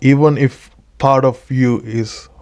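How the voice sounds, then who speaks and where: A man from Sri Lanka hosts a relaxed interview, speaking in a voice of ordinary pitch. He keeps up a steady stream of short agreeing fillers and tells anecdotes in a loose, searching way. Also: clear, resonant tone. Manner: encouraging, many quick agreeing fillers. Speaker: podcast host. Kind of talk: casual interview. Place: room at a dental service workplace.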